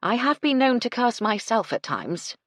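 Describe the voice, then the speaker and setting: A woman voicing a kindly, firm lady in charge of a household, speaking in a measured, genteel and reassuring manner. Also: fairly high pitch, sing-song, a bit bright, no echo, clean studio sound, pitched above the performer's own voice. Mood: firm, wry.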